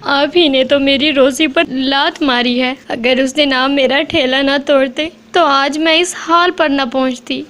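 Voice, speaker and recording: A woman's voice: high-pitched, fairly even intonation, a little slow, few pauses, a bit bright. A woman voicing a poor mother sparrow in a cartoon, pleading and tearful. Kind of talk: cartoon voice acting.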